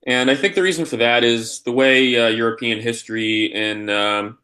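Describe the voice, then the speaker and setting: A man born in Poland and raised in the United States, answering interview questions in a halting, deliberate, conversational way with repeated starts. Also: medium pitch, fairly even intonation, pushed and bright. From Polish-born, raised in the United States. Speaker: interview guest, history scholar and university teacher.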